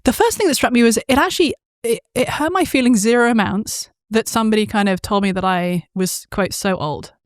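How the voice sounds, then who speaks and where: A woman's voice: on the high side, a little fast, hardly any pauses, no echo, clean studio sound. A woman, hosting an interview in casual chat, telling stories with many fillers and frequent laughs. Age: 40s. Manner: chatty storyteller, laughs often, many fillers. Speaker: podcast host.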